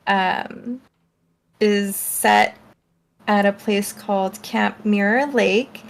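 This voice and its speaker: A woman speaks very slowly in a casual, unscripted way, with plenty of vocal fry. Her delivery is halting and hedging as she reviews books as a co-host. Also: medium pitch. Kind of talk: casual chat, unscripted book summary.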